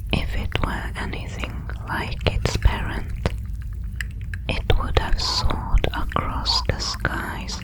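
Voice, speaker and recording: Woman as slow, whispering alien creature. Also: natural voice, rough recording. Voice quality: breathy unvoiced whisper. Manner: slow, deliberate, solemn phrasing. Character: curious, lonely alien creature with ancient inherited memories. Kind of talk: scripted ASMR audio drama narration.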